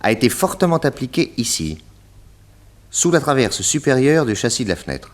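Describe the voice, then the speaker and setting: An actor playing a shrewd, confident detective in a French radio mystery play, courteous and deliberate as he explains and probes. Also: medium pitch, big pitch swings, lightly acted. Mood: assertive, explanatory. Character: shrewd, theatrical male detective, confident and probing.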